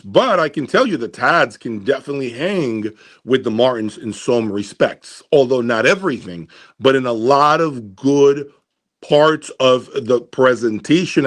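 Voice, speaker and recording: A man hosting an audio gear review, talking casually and emphatically to viewers, deliberate and repeating his points for emphasis. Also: medium pitch. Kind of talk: casual opinionated commentary to viewers.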